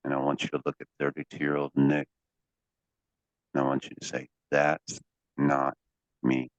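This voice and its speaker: A man working as a hypnotherapy coach, guiding a session in a deliberate, commanding way with drawn-out pauses and phrases to be repeated. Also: very deep voice, completely flat delivery. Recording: dull, echoey lo-fi room sound.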